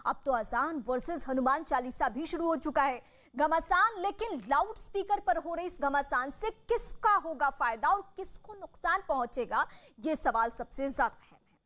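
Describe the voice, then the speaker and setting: A woman with a high voice moderates a televised news debate. She presents like a live anchor, with emphatic, formal delivery. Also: moderate pace, fairly bright tone.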